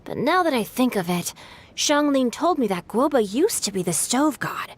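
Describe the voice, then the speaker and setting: A woman's voice: on the high side, very animated, normal speed, slightly rough. A woman voicing a mischievous young woman who runs a funeral parlor in a video game, cheeky and sing-song.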